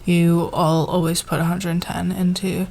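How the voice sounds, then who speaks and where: A woman playing a friendly, encouraging boss in an ASMR roleplay, talking to a team in a halting, soft-spoken office manner. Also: on the low side, level tone, slight background noise, close to an everyday voice. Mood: appreciative, encouraging.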